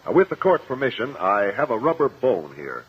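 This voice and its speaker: An actor playing a shrewd, courteous attorney in a 1950s radio courtroom drama, questioning in a measured, formal, insistent way. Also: male voice, medium pitch, big pitch swings, breathy edge. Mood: courteous, assured. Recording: dull, lo-fi vintage radio recording.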